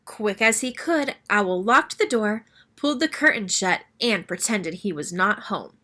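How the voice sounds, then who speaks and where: A woman reading a children's story aloud as an expressive narrator. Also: medium pitch, a little slow.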